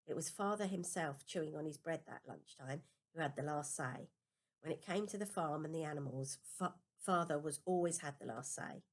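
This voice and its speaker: Woman reading a kids book out loud, bit of a low voice, storytelling. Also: moderate pace. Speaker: storybook reader. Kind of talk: scripted narration, children's novel read aloud in first person.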